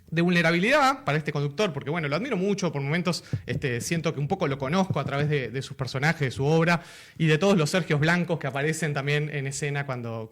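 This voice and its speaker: Uruguayan male podcast host, slightly high voice, nervous and stop-start. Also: a bit bright. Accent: Uruguayan Spanish. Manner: nervous, halting, many fillers and restarts. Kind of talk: political podcast interview.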